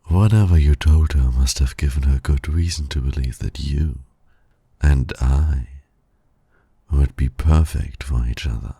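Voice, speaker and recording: A man playing a suave, flirtatious villain who teases and courts his nemesis in an intimate ASMR roleplay, with a husky, gravelly, breathy voice. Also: very deep voice, no echo, full character voice. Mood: smug, flirtatious. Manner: slow, breathy, suave teasing with soft chuckles.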